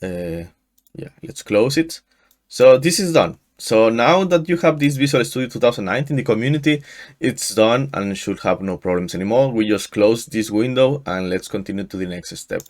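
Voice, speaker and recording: Mexican-accented man giving a software tutorial. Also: medium pitch, expressive. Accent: Mexican Spanish. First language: Spanish. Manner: halting, frequent restarts and fillers. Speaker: tutorial host. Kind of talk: screen-share software tutorial. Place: small room indoors. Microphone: headset.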